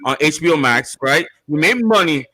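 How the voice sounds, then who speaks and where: A man from Puerto Rico hosting a casual online show, animated, repeating himself and restarting often. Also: on the high side, normal speed. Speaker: host.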